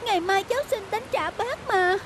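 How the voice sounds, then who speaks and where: High-pitched, pleading little girl. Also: normal speed, noisy background. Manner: polite, pleading. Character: pleading polite young girl stranded in the rain. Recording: music and rain under the voice.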